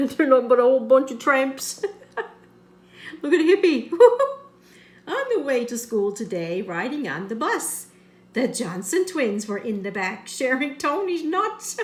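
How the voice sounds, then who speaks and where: A woman reading a picture book aloud, constantly breaking into giggles and making teasing asides. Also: sits high. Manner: constant giggling, cracks up between lines. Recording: roomy, echoey sound.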